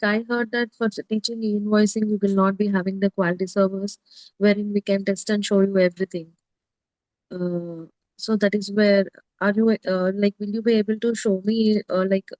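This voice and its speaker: A woman asking questions as a trainee, in halting, hesitant phrasing. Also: mid-range voice, darkish tone, no echo, poor audio. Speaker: prospective trainee.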